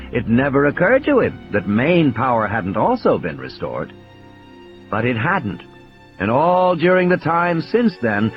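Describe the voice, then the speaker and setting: A man's voice: mid-range voice, muffled recording. A man reading a scripted, dramatic audiobook narration as a storyteller.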